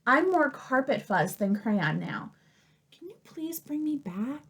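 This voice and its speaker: Woman voicing a plaintive, high-pitched, battered little crayon. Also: big pitch swings, slow pace, fairly bright tone, exaggerated voice. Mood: plaintive, aggrieved. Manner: plaintive, aggrieved letter-writer. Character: battered, disgusted, pleading tan crayon.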